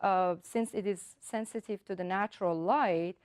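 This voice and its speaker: A woman whose first language is Persian, an artist calmly explaining her work and technique step by step, often pausing with ums and uhs. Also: mid-range voice, clean tone, no echo, clean studio sound. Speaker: artist giving a demonstration.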